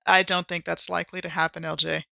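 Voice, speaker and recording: A woman's voice: on the low side, flat delivery, quick pace, creaky, sharp tone, no echo, studio quality. A woman improvising in character as a player in a tabletop roleplay podcast, wry and wordy with hesitant turns. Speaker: player in an actual-play audio drama podcast.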